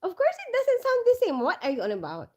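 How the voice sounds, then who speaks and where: A woman's voice: extremely high pitch, wildly swooping pitch, fast, darkish tone. A woman chatting casually to viewers, full of fillers and asides. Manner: chatty, many fillers, reads out comments. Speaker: vlogger. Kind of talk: casual live chat with viewers.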